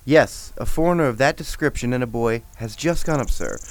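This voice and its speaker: An actor playing a brusque funicular railway operator in a radio drama, curt in manner. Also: male voice, mid-range voice, expressive, fairly quick, no echo, close to an everyday voice, poor audio.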